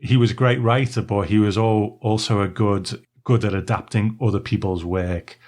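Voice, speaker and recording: A man's voice: lowish voice, fairly even intonation, moderate pace, darkish tone. A man co-hosting a podcast in a casual, easygoing, conversational way. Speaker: podcast co-host. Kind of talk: casual chat.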